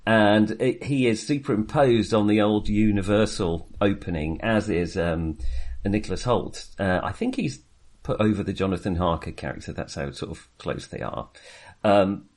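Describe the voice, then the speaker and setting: A man co-hosting a casual film discussion, talking in a hesitant, halting way and circling back on his phrases. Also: fairly low pitch, darkish tone. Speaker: podcast co-host reviewing films.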